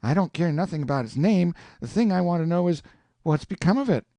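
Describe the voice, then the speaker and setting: Man putting on a squeaky stubborn country kid, all worked up, dry mic. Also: big pitch swings, moderate pace, gravelly, a little mellow, dry recording, full character voice. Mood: indignant. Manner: indignant, rustic boyish dialect. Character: boy, stubborn and indignant.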